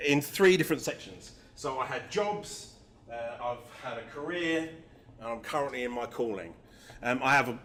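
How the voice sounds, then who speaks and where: A man's voice: fairly high pitch, a bit bright. Middle-aged man, English-New Zealand accent. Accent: mix of English and New Zealand. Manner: casual, self-deprecating, lots of ums. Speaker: marketing agency founder giving a talk. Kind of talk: conference talk to a live audience. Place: meetup venue with audience.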